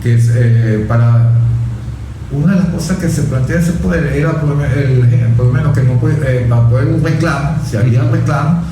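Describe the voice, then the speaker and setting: A man with a Venezuelan accent discussing politics conversationally, haltingly, with many false starts and repeated words. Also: medium pitch. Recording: very echoey room with clear noise.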